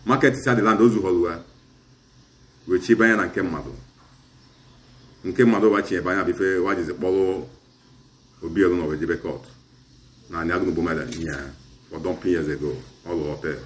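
A man's voice: deep voice, fairly even intonation, brisk, long pauses, reverberant, a little hiss or hum. A middle-aged man with an Asaba Igbo accent, recounting a community dispute as a chief in an interview and switching between Igbo and English. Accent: Nigerian, Asaba Igbo. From Nigeria. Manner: mixes Igbo and English in long recounting turns.

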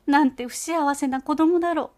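A woman's voice: high-pitched, brisk, a little mellow, pitched above the performer's own voice, lightly acted. A woman voicing an anxious, humble, and very polite mother field mouse in a storybook reading. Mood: tearful.

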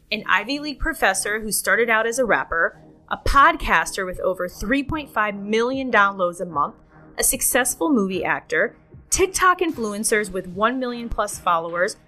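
A woman's voice: medium pitch, bright, forceful tone. Upbeat woman podcast host over faint music. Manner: upbeat, enthusiastic, many "like" fillers. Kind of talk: scripted intro, then casual interview.

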